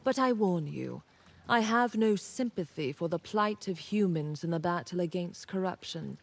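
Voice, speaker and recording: A woman voicing a proud, wary, ancient nature spirit in a fantasy game, speaking in a solemn, deliberate, haughty manner. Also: fairly low pitch, expressive, creaky. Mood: stern, aloof. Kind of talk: dramatic fantasy game dialogue.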